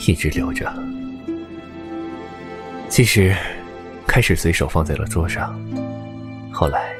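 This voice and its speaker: An actor playing a tender, hesitant, lovelorn immortal nobleman in a Chinese audio drama, speaking in halting, breathy, earnest phrases. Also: low voice, lively intonation, full character voice. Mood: wistful. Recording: loud sad new-age music behind.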